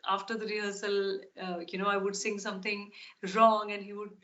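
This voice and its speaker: A woman's voice: medium pitch, completely flat delivery, fairly quick, bright, forceful tone, highs cut off. A woman singer being interviewed, answering in an earnest, thoughtful way with many fillers and restarts. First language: not Tamil. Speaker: podcast guest.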